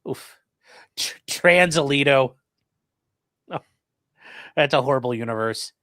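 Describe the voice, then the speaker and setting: A man's voice: medium pitch, unhurried, a bit bright, no room sound, studio quality. A man hosting a live stream, reading out viewer questions and giving casual legal commentary with wry, joking asides.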